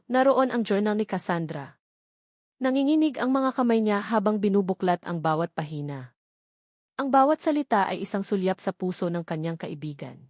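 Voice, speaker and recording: A woman's voice: medium pitch, no echo. Woman narrating a drama, very dry sound. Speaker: narrator of a romance audio story. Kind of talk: dramatic scripted storytelling narration.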